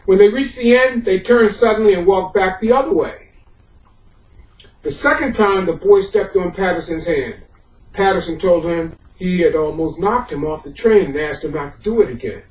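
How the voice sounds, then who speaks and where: A man working as a historian, presenting and reading a history book aloud, halting in his own remarks and more emphatic as he reads. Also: high-pitched. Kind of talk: introduction and audiobook-style reading of a history book. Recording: echoey room, far from the microphone.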